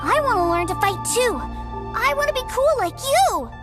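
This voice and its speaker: A woman voicing an excitable, stubborn little boy in a video game. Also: extremely high pitch, normal speed, over loud music. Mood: eager. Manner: excited, pleading.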